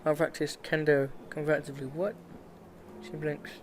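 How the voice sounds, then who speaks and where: A man's voice: fairly high pitch, flat delivery, moderate pace, a little hiss or hum. A male game streamer reading a visual novel aloud, stumbling over the text and dropping in casual, joking asides. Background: game music.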